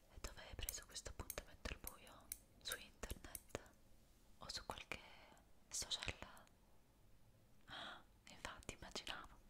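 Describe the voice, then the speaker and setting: A woman whispering softly in an ASMR roleplay as an attentive barber, gently reassuring her client. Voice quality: soft, unvoiced whisper.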